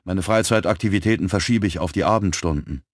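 A man voicing a brisk, frank young woman who works as a police typist. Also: low-pitched, no room sound, studio quality. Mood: lively.

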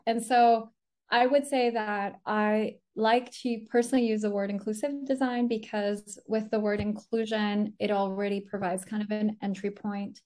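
A woman's voice: medium pitch, a little mellow. A woman, raised in the US after a Colombian birth, explaining her work as an inclusive design consultant thoughtfully in a video call interview from home. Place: home room indoors. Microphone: laptop mic on a video call.

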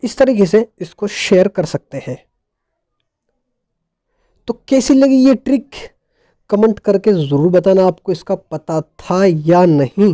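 A man's voice: high-pitched, warm tone, no room sound, studio quality. A man narrating a casual how-to tech tutorial in a playful, jokey, colloquial way.

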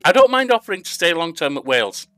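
Older man, bright voice, game commentary. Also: fairly high pitch, very animated, quick pace, no echo, faint music behind. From England. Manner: reads interview questions aloud and answers them himself. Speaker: gaming YouTuber. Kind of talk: casual let's-play commentary. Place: home room at a desk.

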